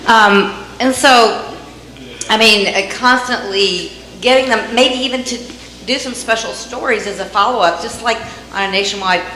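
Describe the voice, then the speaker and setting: Loud, bright-voiced woman in the audience. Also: on the high side, normal speed. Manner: rambling, searches for words. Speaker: audience member asking a question. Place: meeting room. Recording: echoey room recording.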